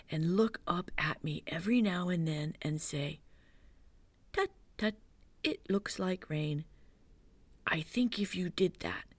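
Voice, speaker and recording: Reader doing a mild, dreamy storybook bear: breathy hush, slow and gravelly. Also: medium pitch, no echo. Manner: soft breathy half-whisper, slow and thoughtful. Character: gentle slow-witted male toy bear.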